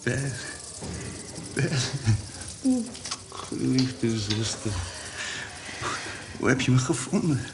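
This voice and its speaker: A man in a radio drama playing a condemned prisoner, a fond brother who speaks in an urgent, hushed way. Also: medium pitch, takes it slowly, pauses often, natural voice. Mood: tender surprise. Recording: noisy radio play recording.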